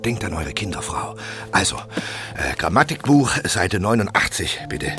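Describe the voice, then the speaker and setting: Court tutor, lowish voice with lively swings, pedantic yet cautious, children's audio drama, music underneath. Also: male voice, unhurried. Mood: secretive, nervous. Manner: formal, pedantic, nervously hesitant. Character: small, stout, long-bearded learned royal tutor, cautious. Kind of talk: children's radio play acting.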